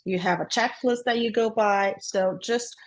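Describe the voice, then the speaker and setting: A woman's voice: medium pitch, steady tone, normal speed. A woman from Brazil with a Brazilian accent, a guest in a casual interview who talks upbeat and laughs often between her thoughts. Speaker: guest, transaction coordinator.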